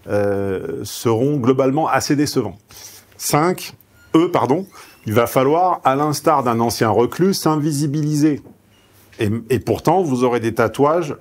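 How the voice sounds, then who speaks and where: Frank, salty-tongued seminar speaker man. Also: medium pitch. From southeastern France. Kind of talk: seminar talk.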